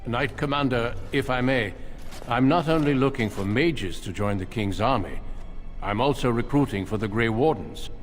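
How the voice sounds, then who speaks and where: An actor playing a calm, resolute recruiter for a warrior order in a fantasy game, formal and measured. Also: male voice, mid-range voice, lightly acted. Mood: composed. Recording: reverberant game dialogue with music.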